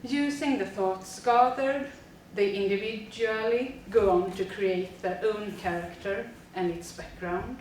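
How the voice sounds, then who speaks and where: A woman's voice: medium pitch, a little slow, few pauses, a little mellow, heavy reverb, recorded from a distance, slight background noise. A woman, a teacher reading a prepared presentation steadily with frequent fillers. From Sweden. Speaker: teacher presenting a school project.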